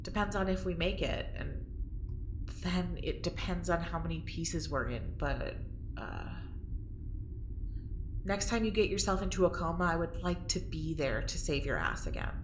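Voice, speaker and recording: A woman's voice: lowish voice, big pitch swings, normal speed. An actress playing a blunt, sarcastic, distrustful woman who is grudgingly loyal in an audio drama. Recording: roomy, faint noise.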